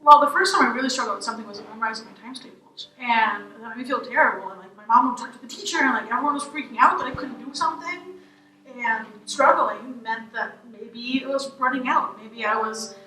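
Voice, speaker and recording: A woman's voice: a little high, brisk, crowd noise. A young woman undergraduate, earnestly recalling her school experiences in an interview. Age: young adult. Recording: roomy, from a distance.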